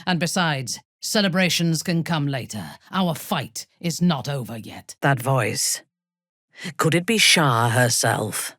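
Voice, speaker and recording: A woman playing an imperious, ruthless dark elf warrior noblewoman in a fantasy video game, speaking in a commanding, formal, haughty way with a husky, breathy voice. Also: low-pitched, lively intonation, slow pace, dry recording, studio quality, full character voice. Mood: solemn.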